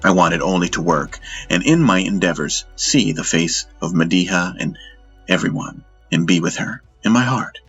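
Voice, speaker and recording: A man narrating first-person fiction in a measured, dramatic storytelling manner. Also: low voice, animated, a bit bright, soft background music. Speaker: audiobook narrator.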